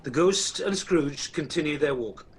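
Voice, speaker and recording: A man's voice: fairly high pitch, lively intonation, a little slow, husky. A man acting as the storytelling narrator of an amateur Christmas radio play, reading a script. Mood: descriptive. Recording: noisy home video-call recording.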